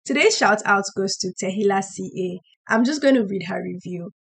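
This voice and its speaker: A young woman hosting a faith podcast, talking in a chatty way with a hoarse voice and laughing between points. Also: medium pitch, expressive, normal speed, fairly bright tone. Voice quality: husky. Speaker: podcast host. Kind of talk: faith-based casual podcast talk.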